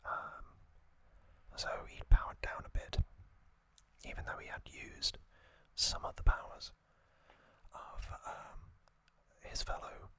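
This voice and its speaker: A man speaking entirely in a breathy, unvoiced whisper, telling a story in halting short phrases as an ASMR host. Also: sharp tone.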